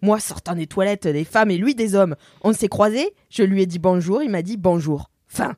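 A woman hosts a casual podcast chat, chatty and quick to laugh as she reads listener messages aloud. Her voice sits at a middle pitch, close and dry with no room sound. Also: darkish tone, dry recording. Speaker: podcast host.

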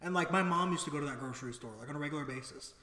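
A young adult man co-hosting a podcast in casual chat, excitable and rambling, swearing freely. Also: fairly high pitch, racing. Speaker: podcast co-host. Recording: echoey room with long reverb.

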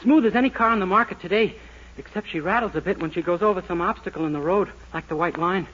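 An actor playing a naive, boastful, earnest young small-town cab driver in a 1940s radio sitcom. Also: male voice, high voice, quick pace, lightly acted. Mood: cheerful boasting. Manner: eager, guileless, cheerfully self-assured. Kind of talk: 1940s radio situation comedy acting. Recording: noisy, dull 1940s radio recording.

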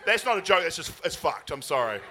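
Animated, high-voiced self-deprecating male comedian. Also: quick pace. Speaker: comedian panel guest. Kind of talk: casual comic banter. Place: live venue stage with audience. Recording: live show with faint background noise.

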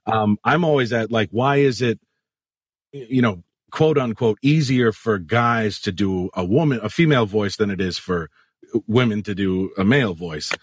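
A man's voice: lowish voice, normal speed, husky, dry recording, studio quality. A man hosting a casual podcast, joking crudely and swearing a lot while interviewing his guest. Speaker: podcast host.

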